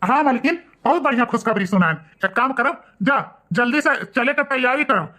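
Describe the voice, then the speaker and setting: A man's voice: very high-pitched, lively intonation, moderate pace, very noisy. A man voicing emphatic, argumentative village family men with an Awadhi accent in a cartoon. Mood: excited. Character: village family men, stern old patriarch and grumbling son.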